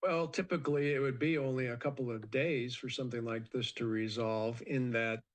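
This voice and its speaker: An American man with a mid-pitched voice gives expert commentary in an interview. He speaks off the cuff, explaining things in a measured, matter-of-fact manner. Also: steady tone.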